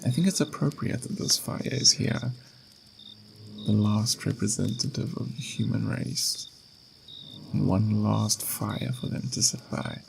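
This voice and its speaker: A man playing a patient, gentle, mysterious ageless being in an ASMR roleplay, speaking in an unhurried, philosophical, reassuring way with a gravelly, creaky voice. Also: on the low side, slight background noise, low-quality recording. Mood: soothing, inviting. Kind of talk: ASMR roleplay, slow intimate monologue.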